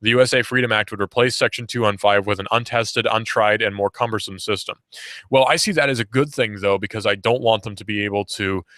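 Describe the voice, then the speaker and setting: A young man behind a studio mic, talking on radio in a low, bright, forceful voice. He argues with sarcasm and drives each point home by repeating it. The sound is close and dry. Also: steady tone, fairly quick, dry recording. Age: young adult. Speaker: radio host. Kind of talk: opinionated talk radio commentary. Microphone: studio microphone.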